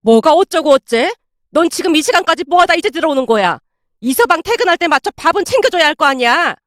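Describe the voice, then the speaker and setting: A woman's voice: high-pitched, animated, fairly quick, dry recording, clean studio sound. A woman voicing an older mother-in-law in a narrated family story, blunt, indignant and fiercely protective. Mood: furious scolding.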